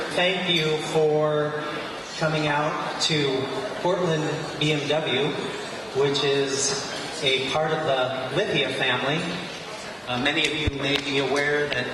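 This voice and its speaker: A man's voice: a little high, fairly even intonation, slow pace. Man speaking in an echoey showroom. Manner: informal, with frequent "uh" fillers. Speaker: wealth advisor hosting an event. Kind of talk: welcome speech at an evening event. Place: large echoey venue, a car showroom. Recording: echoey hall from far off, noisy.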